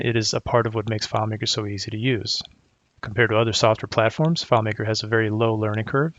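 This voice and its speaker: A middle-aged man from Croatia giving a prepared conference presentation as a technical architect, speaking in a measured, methodical, explanatory way. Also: mid-range voice, creaky, a bit bright, dry recording, dull audio.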